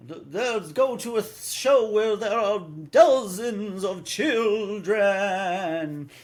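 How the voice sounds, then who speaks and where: A man's voice: sits high, drawn-out words. A man hosting a podcast in casual chat, rambling and often restarting his sentences mid-thought. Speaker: podcast host. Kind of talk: casual chat reviewing a radio drama.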